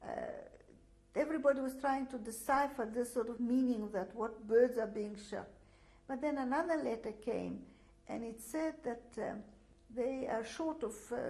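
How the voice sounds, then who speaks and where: An older woman with a fairly high voice gives a testimony about her life. Russian is her first language. She talks haltingly, filling gaps with "uh" and restarting her sentences. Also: darkish tone, low-quality recording. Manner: hesitant, many fillers and restarts. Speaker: interviewee. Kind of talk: oral history testimony.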